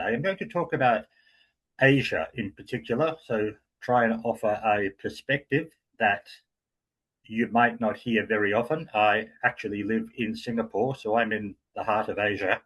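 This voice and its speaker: A man with an Australian accent giving an explanatory talk as guest speaker, measured and with frequent fillers. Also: on the low side, a bit bright, slightly rough, rough recording. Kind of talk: lecture-style talk.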